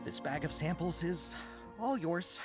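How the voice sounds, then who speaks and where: A man's voice: fairly high pitch, expressive, unhurried. A man voicing an earnest, obliging caravan merchant in an English video game. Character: eager, grateful caravan merchant, adult. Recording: game music behind voice.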